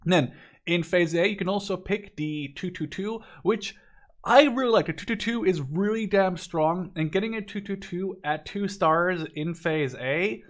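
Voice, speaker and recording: A man in his twenties giving casual game commentary in a rambling, conversational, opinionated way. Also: on the high side. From Netherlands. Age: 20s. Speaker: gaming video commentator.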